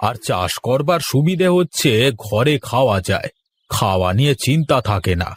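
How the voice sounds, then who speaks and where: Man playing an easygoing village farmer, lively intonation. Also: mid-range voice, normal speed, breathy edge. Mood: resigned, practical. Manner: homely, colloquial, ends remarks with checking questions. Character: easygoing, practical village farmer, an elder brother figure. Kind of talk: cartoon dialogue.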